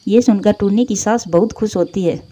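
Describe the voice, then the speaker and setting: A woman's voice: medium pitch, moderate pace, mellow, husky. A woman reading scripted narration for a Hindi cartoon story.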